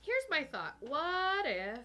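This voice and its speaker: A woman with a high, bright voice trades casual banter as a player in a tabletop game. Her pitch moves around a lot, giving her talk an animated, lively swing. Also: takes it slowly.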